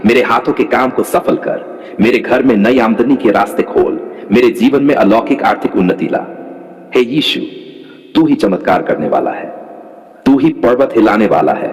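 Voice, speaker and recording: A man leads a devotional prayer in a mid-range voice, pressing each line in fervent, rhythmic repetitions. His words ring out with a long, trailing reverb, as if spoken in a large hall. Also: mid-range voice. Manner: fervent, rhythmic repeated declarations. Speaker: prayer leader.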